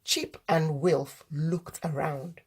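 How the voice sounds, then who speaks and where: A woman reading a children's story aloud as host, in deliberate, expressive narration. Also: deep voice, slightly rough.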